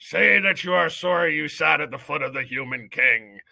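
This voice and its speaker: Man doing a booming, pompous head mouse. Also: creaky, sharp tone, no echo, exaggerated voice. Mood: stern. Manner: booming, stern, slow and solemn. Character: pompous old male head mouse judge. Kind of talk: audiobook character reading.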